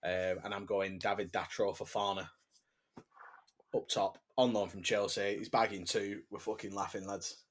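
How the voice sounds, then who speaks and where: A man's voice: fairly low pitch, steady tone, normal speed, a little hiss or hum. A man co-hosting a casual podcast, joking, swearing often and laughing a lot. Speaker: podcast co-host. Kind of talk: casual banter.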